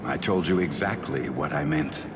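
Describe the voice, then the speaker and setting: An actor playing a cold, stern, haughty sword spirit in an anime game, with an imperious, unyielding manner. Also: male voice, extremely low pitch, moderate pace. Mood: cold refusal. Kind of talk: English anime game voice acting. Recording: cavernous reverb with noisy ambience.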